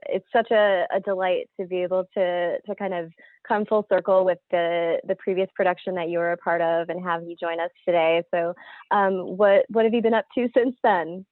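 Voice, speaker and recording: A woman's voice: fairly low pitch, steady tone, moderate pace, dry recording. Woman hosting over phone-like call audio. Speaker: host, marketing director of a theater company. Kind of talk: hosting a panel introduction.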